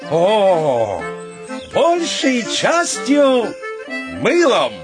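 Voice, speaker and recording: An actor playing an eccentric, excitable suitor in a comic radio play, halting and emphatic as he repeats his words, with music behind him. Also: high-pitched, exaggerated voice. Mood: solemn, generous.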